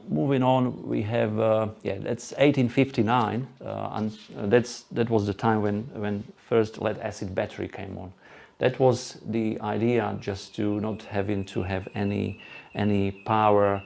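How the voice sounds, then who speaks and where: A man with a Slovak accent giving an informal, chatty talk to a live group, halting now and then for asides. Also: fairly low pitch, creaky, a little mellow. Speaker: presenter at an electric car group event. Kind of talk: live presentation to a small audience.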